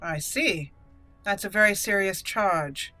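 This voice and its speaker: A woman's voice: medium pitch, takes it slowly, pushed and bright. A woman doing let's play commentary, reading game dialogue in character in a theatrical way, with game music behind her. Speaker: gaming video host, roleplaying a character aloud. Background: video game music.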